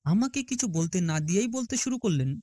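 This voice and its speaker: A man narrating a horror story in the first person, expressive and theatrical in his delivery. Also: high voice, brisk, dry recording, clean studio sound. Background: prominent music.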